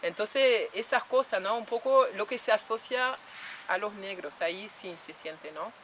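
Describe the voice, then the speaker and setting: A woman's voice: mid-range voice, unhurried, fairly bright tone, a little hiss or hum. A woman from France in an interview, speaking reflectively with many fillers and restarts. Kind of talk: documentary interview.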